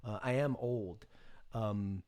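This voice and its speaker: A man's voice: lowish voice, takes it slowly, a little mellow. An American man in his thirties giving casual, off-the-cuff commentary on the news as a streamer, irreverent, sarcastic and freely swearing. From United States. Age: 30s. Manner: irreverent, sarcastic, profanity-laced.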